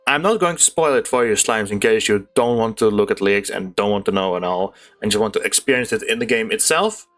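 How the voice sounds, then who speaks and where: A man giving casual, excitable gameplay commentary, chatting to his viewers as a gaming YouTuber. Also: fairly low pitch, brisk. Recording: game music behind voice. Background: video game music.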